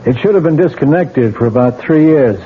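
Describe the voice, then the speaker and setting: A man's voice: a little high, animated, brisk, husky. An actor playing a skeptical, probing male police lieutenant who questions a witness and gives firm orders, in a 1970s radio drama. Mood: commanding. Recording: tinny lo-fi old radio broadcast with music.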